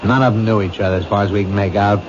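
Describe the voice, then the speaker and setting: An actor playing a world-weary middle-aged police captain who talks in a brisk, matter-of-fact way, in a 1970s radio drama. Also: male voice, lowish voice, fairly even intonation, natural voice. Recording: dull noisy old radio broadcast.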